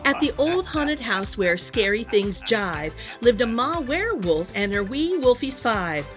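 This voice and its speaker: A woman reading a children's picture book aloud in an animated, sing-song storytelling manner. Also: fairly high pitch, unhurried. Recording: music playing behind the voice. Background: music.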